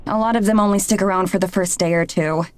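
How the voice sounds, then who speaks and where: A woman's voice: medium pitch, fairly even intonation, fast talker, darkish tone, lightly acted. A woman playing a cautious, skeptical young film crew member in a visual novel, pointed and wary in delivery. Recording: music behind the voice.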